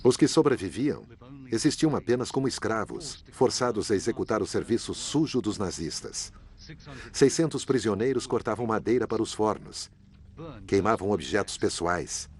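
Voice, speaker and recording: Grave low-voiced male narrator. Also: lively intonation, darkish tone. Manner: measured, grave delivery. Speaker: documentary narrator. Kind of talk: scripted documentary narration.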